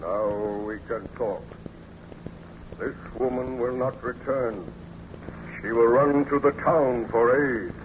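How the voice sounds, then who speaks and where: Monster played by male actor: wretched, menacing, slow and declamatory; vintage radio horror, noisy, dull recording. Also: medium pitch, natural voice. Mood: bitter. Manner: slow, grave, declamatory, formal diction. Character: wretched hideous man-made monster, bitter, menacing, self-pitying. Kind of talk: old-time radio drama acting.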